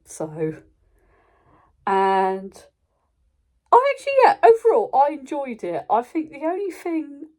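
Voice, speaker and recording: A woman's voice: fairly high pitch, darkish tone. A British woman chatting casually and unscripted as a channel host giving a review, in an informal, rambling way, often interrupting herself.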